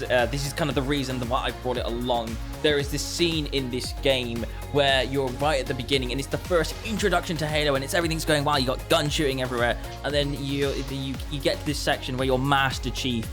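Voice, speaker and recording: Male podcast host, mid-range pitch, chatty and repetitive, casual gaming talk with faint music underneath.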